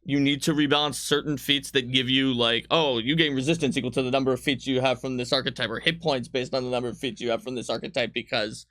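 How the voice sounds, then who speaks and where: A man's voice: mid-range voice, fairly even intonation, fast, hardly any pauses, pushed and bright. A man casually explaining tabletop game rules in frank detail, as a designer on a livestream.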